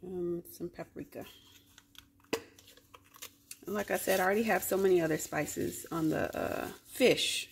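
A woman in her forties talks straight to her viewers as she does her chores and cooks, chatty and quick to laugh. Her voice has a gravelly, fry-tinged edge. It is picked up on a phone camera held at arm's length, in echoey bathroom and kitchen spaces. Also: fairly low pitch, noisy background. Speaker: vlogger. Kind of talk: casual cook-with-me vlog. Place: home bathroom, then kitchen.

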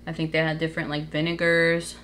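A woman's voice: deep voice, monotone, quick pace, a bit bright, a little hiss or hum. A young woman chatting casually in her home kitchen, showing groceries to the camera with lots of likes and ums. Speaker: vlogger. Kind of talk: casual grocery haul show-and-tell.

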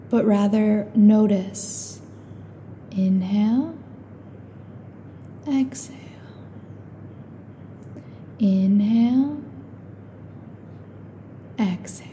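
A woman guiding a meditation in a calm, soothing, unhurried way, leaving long pauses between short instructions. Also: on the high side, slight background noise. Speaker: meditation guide.

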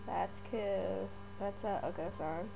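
A woman talks casually over a game, reading its text aloud and adding quick remarks. Her voice is stuffy from a cold, at an everyday middle pitch. A clear electrical hum sits under the recording. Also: fairly even intonation. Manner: reads game text aloud, chatty asides, frequent laughs. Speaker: let's play gamer. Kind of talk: casual gameplay commentary. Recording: mains hum in background.

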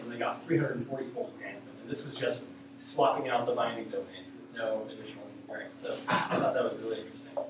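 Young male presenter, informal academic talk, hesitant with many ums, distant mic, noisy lecture room. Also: mid-range voice. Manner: hesitant, halting delivery with frequent ums. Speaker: presenter of a research news roundup. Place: university lecture hall, indoors. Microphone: far camera microphone. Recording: echoey room, distant mic, clear background noise.

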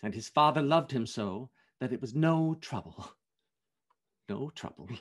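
A man's voice: mid-range voice, expressive, unhurried. A man voicing a grieving mother who keeps bravely cheerful, tender and faltering, in a storybook reading.

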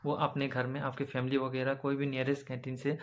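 A young man casually explaining canteen card benefits to his viewers, with frequent filler pauses, recorded on a phone camera at arm's length indoors. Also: medium pitch, completely flat delivery. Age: young adult. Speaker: vlogger explaining army canteen cards. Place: indoor room with bright windows behind.

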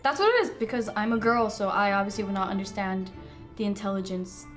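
A woman's voice: mid-range voice, fast talker, a bit bright. A woman in her twenties playing a tabletop roleplaying game, improvising casually in character. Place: indoor studio set. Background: background music.

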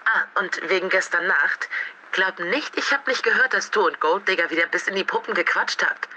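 A woman's voice: medium pitch, normal speed, bright, forceful tone, slight background noise. A woman playing an upbeat, teasing, busy mother chatting to her teenager in an audio drama. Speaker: actor. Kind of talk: voice-message dialogue in an audio drama.

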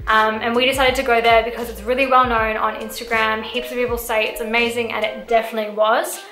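A woman's voice: fairly high pitch, little pitch movement, quick pace, pushed and bright, echoey room, soft background music. A young woman co-hosting a casual vlog, chatting with frequent "like" fillers. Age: young adult. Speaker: vlog co-host. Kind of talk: casual chat. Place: bright indoor room, seated.

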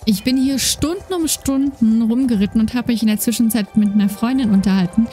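A woman's voice: fairly high pitch, fairly quick. A woman with a slight lisp, chatting casually while playing a horse game, with many fillers, on a desk microphone. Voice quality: slight lisp, soft trailing S sounds. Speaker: gaming YouTuber. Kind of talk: casual let's-play commentary. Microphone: desk microphone with noise gate. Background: game music and horse hoofbeats.

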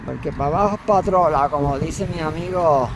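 A man from Puerto Rico with a Puerto Rican accent, vlogging casually as he walks outdoors, halting, with many fillers and restarts. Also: fairly high pitch, noisy background. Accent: Puerto Rican Spanish. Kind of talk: casual walking vlog. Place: outdoors, walking.

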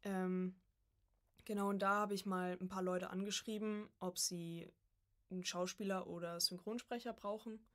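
A woman's voice: medium pitch, fairly even intonation, moderate pace, fairly bright tone. A young woman chatting casually as a guest on a podcast, a student of voice acting.

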